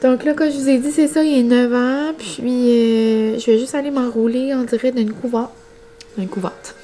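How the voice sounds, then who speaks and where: A young woman with a northern New Brunswick Acadian French accent talks in a fairly high voice. She speaks casually to her vlog viewers, rambling along in colloquial regional speech. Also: steady tone, moderate pace, warm tone. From New Brunswick, Canada. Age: young adult. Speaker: vlogger.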